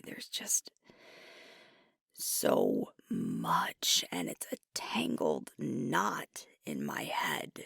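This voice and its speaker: A woman playing a troubled, affectionate alpha werewolf girlfriend in an ASMR roleplay, speaking softly and breathily in a halting, confiding way. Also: rumbling low, no room sound, studio quality, full character voice. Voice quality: breathy, soft, often whispered. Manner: halting, confiding, weary and vexed. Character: frustrated, affectionate female alpha werewolf girlfriend, a stressed leader.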